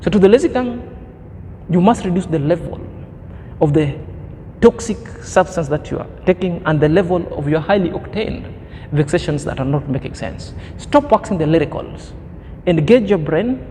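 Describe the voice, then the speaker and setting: Preachy high-voiced man in his thirties. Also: expressive, moderate pace, warm tone, big-room sound, a little hiss or hum. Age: 30s. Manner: preachy, emphatic, fond of grand words. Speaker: commentary vlogger. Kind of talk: opinion commentary monologue.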